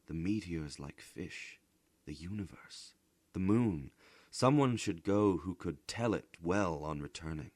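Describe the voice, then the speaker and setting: A wistful wife and mother as a male narrator plays her speaks gently and persuasively. The pace is slow and soft, in a dry storybook reading. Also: low-pitched, lively intonation, no echo.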